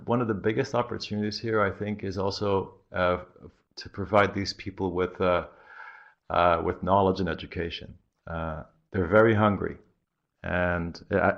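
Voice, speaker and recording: Belgian man with a faint American accent, deep gravelly voice, muffled lo-fi line, interview guest who pauses to think. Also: a bit bright. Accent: slightly American-sounding. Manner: halting, thinks aloud with many pauses. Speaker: marketing guest. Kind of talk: podcast interview. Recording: dull lo-fi audio.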